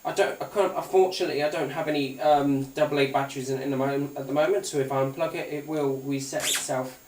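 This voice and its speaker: A man's voice: mid-range voice, fairly even intonation, quick pace, darkish tone. A British man giving a casual, unscripted product demonstration in a hesitant, rambling manner. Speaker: gadget reviewer. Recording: roomy, distant mic, faint noise.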